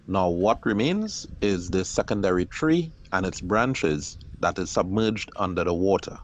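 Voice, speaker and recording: A middle-aged man, calmly narrating his own kayak outing in a measured, explanatory voice-over. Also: sits low, slight background noise. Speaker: kayaker and channel host.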